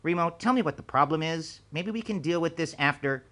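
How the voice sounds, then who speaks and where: A man's voice: a little high, lively intonation, brisk, a bit bright, pitched above the performer's own voice. A man voicing an elderly male spymaster, precise and anxious, speaking urgently. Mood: grim. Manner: urgent, pleading.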